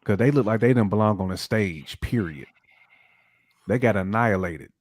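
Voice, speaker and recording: Man, lowish voice, slangy casual panel talk, emphatic repetition, dry close recording. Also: moderate pace, no echo. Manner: slangy, repeats phrases for emphasis. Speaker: podcast panelist. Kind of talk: casual hip hop panel chat.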